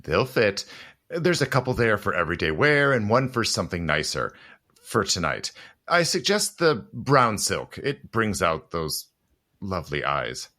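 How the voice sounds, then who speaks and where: A man playing a suave, teasing gentleman protector in a period audio drama, courtly and wry with old-fashioned phrasing. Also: lowish voice, very animated, a little slow, full character voice. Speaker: actor.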